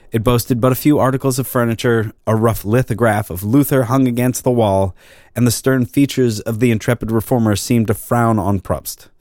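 A man reading an old crime story as narrator, in a measured, formal storytelling manner. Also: mid-range voice, darkish tone, dry recording, studio quality.

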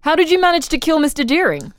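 A woman's voice: sits high, sing-song, fast, dry recording, studio quality. A woman reading the part of an insistent official who questions a murder prisoner methodically in a dramatic reading. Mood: probing, insistent. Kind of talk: dramatic reading of an interrogation transcript.

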